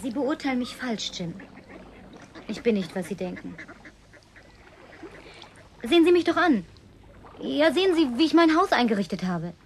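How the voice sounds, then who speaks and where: High-voiced coquettish young-girlish woman. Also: sing-song, moderate pace, long pauses, slight background noise. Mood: pleading. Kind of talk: naturalistic German radio play dialogue.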